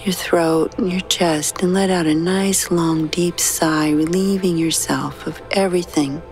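Deep voiced lady doing a sleep meditation, slow n soothing, kinda hushed, quiet music under it. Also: steady tone. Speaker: meditation guide. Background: soft background music.

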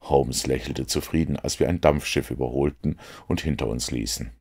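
Man, extremely low voice, husky, audiobook narration, measured delivery. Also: a little mellow. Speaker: audiobook reader. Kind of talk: scripted literary narration.

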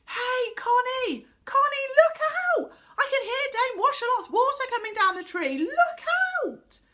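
A woman voicing a brisk, teasing young boy in a children's storybook, lively and matter-of-fact. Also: mid-range voice. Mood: alarmed shouting.

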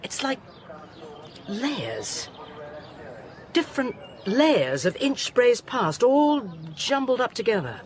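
A woman's voice: fairly high pitch, big pitch swings, takes it slowly, pauses often. An actress playing an inquisitive, dry-witted woman scientist who explains things wryly in a radio drama. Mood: keen, wry.

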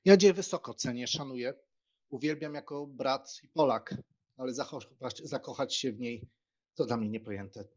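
A man playing a cheerful, talkative young gentleman who teases with playful irony in an audiobook. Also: medium pitch, normal speed, close to an everyday voice. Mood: cheerful banter. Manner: bantering, ironic. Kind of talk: dramatized audiobook reading. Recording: slightly dull, muffled sound.

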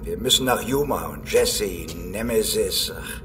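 An actor in a German audio drama playing a decisive, composed leader of space sheriffs, in a commanding manner. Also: male voice, on the low side, unhurried, lightly acted. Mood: resolute. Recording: music behind the voice.

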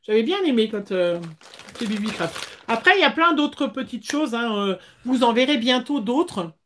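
High-voiced woman chatting. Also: animated, moderate pace, a bit bright, a little hiss or hum. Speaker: craft livestream host. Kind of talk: casual livestream chat. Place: small indoor craft room.

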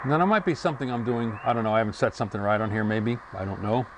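A man's voice: on the low side, rapid-fire. A man in his sixties casually reviewing a portable radio, thinking aloud and correcting himself as he goes, recorded on a lapel mic at home and on a screened porch. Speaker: ham radio hobbyist reviewing a radio. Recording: radio static and noise behind.

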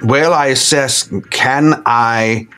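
A man's voice: mid-range voice, takes it slowly, sharp tone, soft background music. A man playing a tabletop role-playing game, casually stating what his character does.

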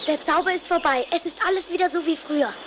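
A woman's voice: very high-pitched, fast talker, noisy background. A woman voicing a tender young farm boy in a cartoon audio drama, speaking with earnest emotion. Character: young farm boy, tender, attached to his goose friends. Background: music.

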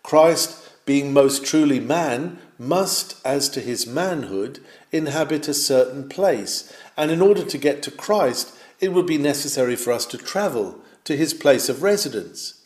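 A man reads a sermon aloud in a solemn, declamatory manner, now and then rising in fervour. His voice is of middle pitch and carries a roomy echo. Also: animated, darkish tone. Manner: measured and declamatory, with swells of fervour. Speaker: reader of a historic sermon. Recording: roomy, echoing sound.

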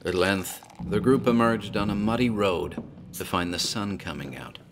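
A man giving measured, grave scripted horror narration. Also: on the low side, background noise.

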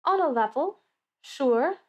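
A woman's voice: high voice, lively intonation, slow. A woman playing in a casual, improvised tabletop roleplay podcast, hesitant and questioning. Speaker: player in an actual-play podcast.

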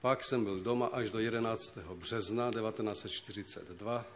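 A man from the Czech lands giving measured testimony as a witness in court. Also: fairly low pitch. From Czech lands (Sudetenland). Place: large courtroom. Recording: old tape, hall reverb, faint hiss.

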